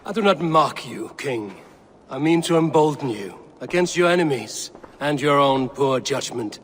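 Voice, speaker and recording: An actor playing a proud, defiant Viking warrior in a video game, speaking bluntly. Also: male voice, medium pitch, lively intonation, moderate pace, slight background noise, close to an everyday voice. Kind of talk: video game cutscene acting.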